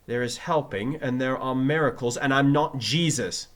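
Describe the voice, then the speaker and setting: A man voicing an exasperated, excitable male doctor coaching speech in a story reading, theatrical and emphatic. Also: medium pitch, moderate pace, pitched above the performer's own voice, close to an everyday voice. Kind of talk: fan fiction reading with character voices.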